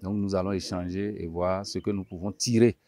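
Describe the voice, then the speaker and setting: A man's voice: low voice, little pitch movement, fairly quick, a crowd in the background. A man from Benin with a Beninese accent, a mayor, gives a formal interview statement.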